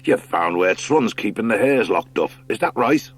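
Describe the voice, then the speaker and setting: A man's voice: fairly low pitch, expressive, moderate pace, slightly rough, voice pushed up. Man playing a hearty, salty sea otter skipper. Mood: hearty, scheming. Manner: nautical rustic speech, jolly. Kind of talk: audiobook character acting.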